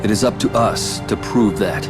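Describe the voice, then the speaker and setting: A grave, noble warrior from a fantasy video game voiced by a man. His lowish voice is formal and resolute, set against loud music. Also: normal speed, close to an everyday voice.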